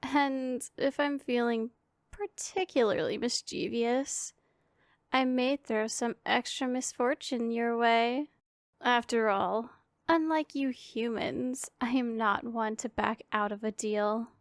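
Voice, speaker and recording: A woman voicing a powerful female demon in an ASMR roleplay, imperious and teasing with a secretly caring streak, speaking in formal, deliberate phrases. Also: a little high, steady tone, dry recording, studio quality. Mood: mischievous, threatening.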